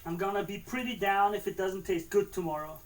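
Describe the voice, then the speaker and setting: A man's voice: high voice, brisk, slight background noise, low-quality recording. A man with an Austrian German accent, chatting casually on a cooking livestream, rambling and repeating words as he talks to himself. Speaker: livestreaming home cook.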